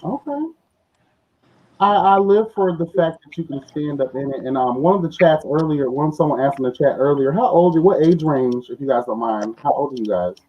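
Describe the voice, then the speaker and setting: Higher-voiced man hosting over a muffled call. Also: lively intonation, a little fast. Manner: encouraging, earnest advice-giving. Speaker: interview host. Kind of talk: casual group interview. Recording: muffled, faintly noisy online call.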